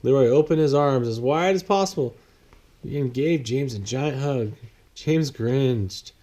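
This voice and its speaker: A man's voice: mid-range voice, a little slow, mellow. A man reading a children's picture book aloud as a bedtime story.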